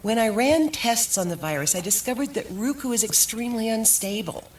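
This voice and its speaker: A woman's voice: fairly high pitch, lively intonation, fairly quick, lightly acted. An actress playing an earnest woman scientist confessing secret research in a radio drama. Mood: earnest, explaining. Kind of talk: audio drama acting. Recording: noisy, distant played-back radio drama clip.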